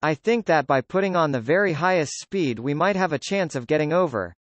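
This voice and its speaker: Brief: male reader as a daring engineer, higher and lively, dry sound. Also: fairly quick, no echo, lightly acted. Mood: eager. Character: bold male Yankee train engineer, eager and daring.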